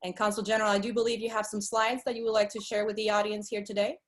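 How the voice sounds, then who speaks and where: A woman from Venezuela moderates a formal webinar. She has a medium-pitched voice and introduces guests courteously, in a formal register. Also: level tone, fast talker.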